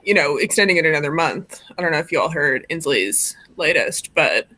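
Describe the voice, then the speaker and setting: A woman's voice: sits low, expressive, brisk, bright, forceful tone. A woman hosting an informal live stream discussion in a casual, conversational way.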